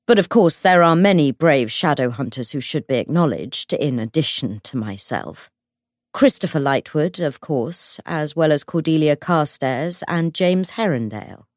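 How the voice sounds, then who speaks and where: A woman's voice: deep voice, lively intonation, moderate pace, darkish tone, no echo, studio quality. A woman voicing a pompous, formal male official making a declamatory speech in an audiobook. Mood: self-important.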